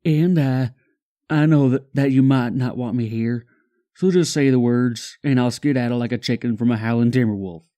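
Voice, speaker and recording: A man voicing an anxious, earnest young male pony in a storybook reading, tender and apologetic with a halting, stammering delivery. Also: mid-range voice, mellow, voice pushed up, natural voice. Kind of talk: storybook fan fiction character acting.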